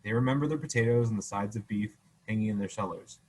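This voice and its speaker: Regular guy reading a kids story out loud, trips over words, fixes them. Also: lowish voice, quick pace, breathy edge, low-quality recording. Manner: casual, stumbling, self-correcting. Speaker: reader. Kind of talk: reading a children's story aloud.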